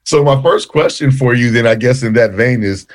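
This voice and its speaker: A man's voice: medium pitch, normal speed. A man hosting a podcast interview, reading a guest's introduction and then chatting and joking with the guest. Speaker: podcast host. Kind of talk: casual interview.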